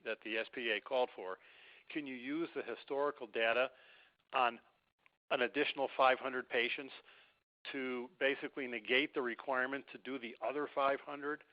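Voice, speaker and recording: Guy caller pressing with questions, average pitch, muffled phone audio. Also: fairly even intonation, brisk. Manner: insistent, probing, repeats for confirmation. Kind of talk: informal question on a conference call. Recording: dull lo-fi phone line.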